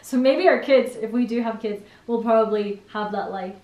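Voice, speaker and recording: A woman's voice: fairly high pitch, moderate pace, dark tone. A young woman from Spain hosting a casual chat, talking with lots of fillers and laughing easily. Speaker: channel host. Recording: echoey room.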